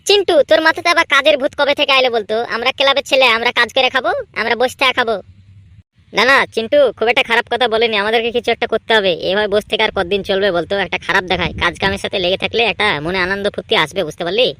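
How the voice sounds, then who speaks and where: A woman's voice: a little high, very fast, pushed and bright, dry recording. A woman voicing a cocky, idle young village club lad in a comedy cartoon, bantering boastfully. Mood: lazy bantering. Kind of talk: exaggerated comedy cartoon dialogue.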